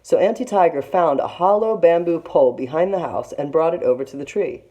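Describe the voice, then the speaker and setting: A woman teacher reading a children's story aloud in an expressive narrating style. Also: sits low, a little fast.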